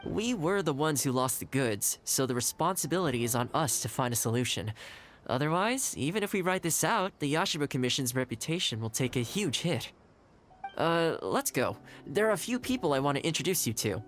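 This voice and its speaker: An actor playing a reasonable, easygoing male official in a video game, talking in a gently persuasive way. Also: a little high, very animated, a little fast, faint music behind. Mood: resigned, responsible. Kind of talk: video game character acting.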